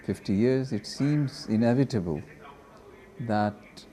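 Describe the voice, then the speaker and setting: A man, a professor, speaking deliberately and academically in an interview. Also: mid-range voice, mellow.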